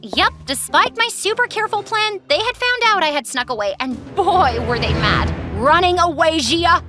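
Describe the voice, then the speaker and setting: A woman's voice: extremely high pitch, animated, moderate pace. A young woman narrating her own cartoon story in a chatty, playful, sarcastic way. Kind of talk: scripted first-person cartoon narration. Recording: narration over music.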